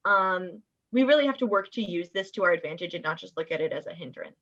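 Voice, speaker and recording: A young woman giving measured, constructive feedback as a guest judge, recorded over a video call. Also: on the low side, lively intonation, a bit bright. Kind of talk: conversational critique. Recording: dull video-call audio.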